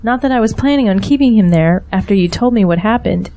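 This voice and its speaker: A woman's voice: medium pitch, lively intonation, fast talker, dark tone, natural voice, poor audio. An actress playing a grateful, earnest middle-aged mother in an audio drama. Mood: earnest, hopeful.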